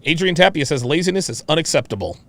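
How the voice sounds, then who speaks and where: A man's voice: fairly high pitch, lively intonation, fast, a bit bright. A man hosting a reaction show, commenting on a clip in a brash, mocking, profane and repetitive manner.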